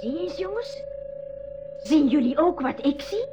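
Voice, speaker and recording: High-voiced spirited young girl. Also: sing-song, unhurried, over music. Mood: excited. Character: Marleen, inquisitive spirited young girl adventurer. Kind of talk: 1980s school radio drama dialogue. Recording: dull lo-fi radio recording.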